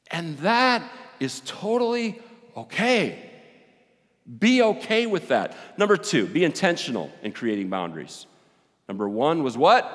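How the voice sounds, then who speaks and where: A man preaching a sermon with a big voice, joking and animated, swinging between loud and quiet. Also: a little high. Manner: animated, joking, with rising and falling volume. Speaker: pastor. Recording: long hall reverb.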